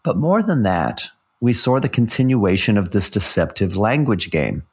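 Low-pitched man giving podcast commentary. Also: sing-song, moderate pace, darkish tone, slightly rough. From South Africa. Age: middle-aged. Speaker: podcast co-host. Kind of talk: podcast commentary, part scripted.

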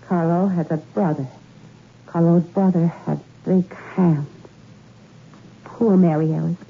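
An actress playing a cool, scheming femme fatale in a 1960s radio suspense drama, languid and matter-of-fact. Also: female voice, lowish voice, fairly even intonation, takes it slowly, creaky, breathy edge, full character voice. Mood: cold, confessional. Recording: thin phone-like old radio recording.

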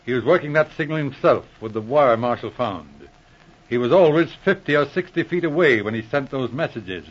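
Resolute, sharp-witted inspector, authoritative and slightly rough-voiced, vintage radio drama with dull sound. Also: male voice, medium pitch, animated, a little fast. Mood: stern, controlled. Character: shrewd, resolute male military police inspector. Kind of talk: 1940s radio drama acting. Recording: muffled old radio recording.

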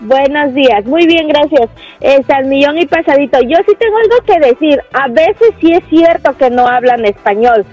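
Woman caller, high voice, dull phone line, calm explaining. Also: normal speed, faint music behind. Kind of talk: phone-in comment.

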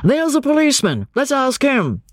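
A woman's voice: high-pitched, big pitch swings, measured pace, no echo, studio quality, voice pushed up. A woman voicing an urgent, commanding male asylum keeper in an audiobook, barking and imperious.

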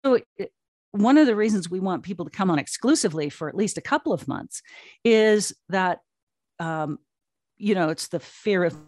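A woman's voice: medium pitch, expressive, normal speed, a little mellow, dry recording, clean studio sound. A woman speaking as an interview guest, answering conversationally and telling stories with emphatic repetition. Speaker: guest, audio drama platform co-founder.

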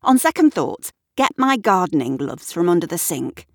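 A woman's voice: lowish voice, big pitch swings, normal speed, dry recording, studio quality. A woman voicing a bossy, exasperated woman at home in an audiobook story.

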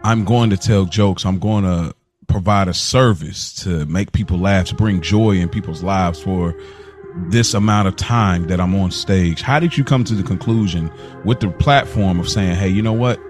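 Male podcast host, lowish voice, conversational and informal, light music in the background. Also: normal speed, darkish tone. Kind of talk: casual conversational hosting.

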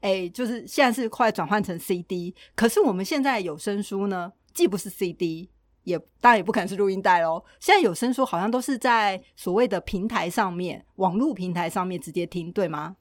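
Taiwanese mandarin woman, mid pitch, podcast cohost, curious n chatty, very dry sound. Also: a little fast, no echo. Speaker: podcast co-host. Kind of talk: casual podcast chat.

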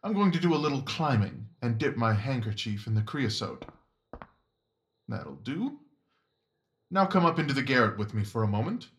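A man playing a brisk, commanding, observant gentleman detective in an audio drama, with clipped, formal, instructive phrasing. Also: medium pitch, big pitch swings. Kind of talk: audio drama acting of Victorian story.

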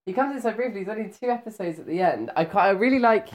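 A man's voice: high-pitched, lively intonation, racing. A man chatting casually as an interview guest, rambling and self-deprecating, with a giggly manner. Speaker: interviewed voice actor.

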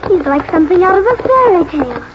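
An actress playing a dreamy, excitable young village girl in a 1940s radio drama, exclaiming breathlessly. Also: very high voice, quick pace. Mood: excited wonder. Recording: noisy muffled 1940s radio recording.

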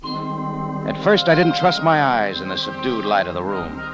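Lively, wry adventurer. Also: male voice, on the low side, unhurried, highs cut off. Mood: intrigued, narrating. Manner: casual, wry storytelling. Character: wry, easygoing American cafe owner and adventurer. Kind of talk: 1950s radio drama acting, first-person noir narration. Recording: vintage radio with music behind.

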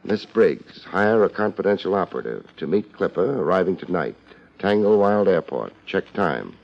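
An American actor playing a laid-back, wisecracking private investigator in a 1950s radio drama, dry and unhurried. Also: male voice, sits low, sing-song, gravelly, full character voice. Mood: wry, laid-back. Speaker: lead actor and first-person narrator. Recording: dull, noisy old radio recording.

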